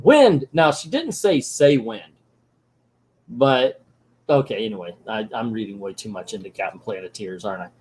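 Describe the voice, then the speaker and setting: A man's voice: lowish voice, animated, a little fast, a little mellow, slightly rough. A man reviewing a comic book who reads it aloud and breaks off with wry, sarcastic asides in a casual manner.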